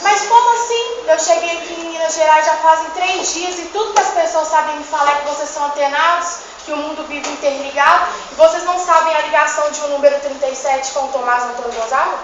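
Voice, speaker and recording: A woman's voice: sits high, steady tone, fast, hardly any pauses, fairly bright tone. A young woman student playing a vain, joking dead poet from the eighteenth century in a school presentation, talking in a theatrical, chiding way to the class. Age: young adult. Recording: echoey room from far off, dull, noisy.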